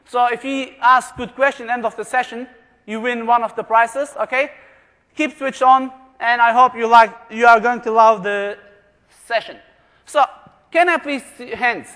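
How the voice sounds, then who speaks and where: High-voiced man presenting in a reverberant hall. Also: steady tone, normal speed, bright, forceful tone. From Germany. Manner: jokey, asks the audience questions. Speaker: conference presenter. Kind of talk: lively conference presentation to a live audience. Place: large conference room. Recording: long reverb of a large hall.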